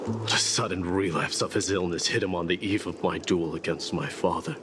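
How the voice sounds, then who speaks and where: An actor playing a stoic immortal warrior king who solemnly recounts his fallen comrades in measured, formal speech for a video game. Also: low voice, natural voice. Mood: solemn, grieving. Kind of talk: dramatic video game narration. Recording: loud music behind the voice. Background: game music.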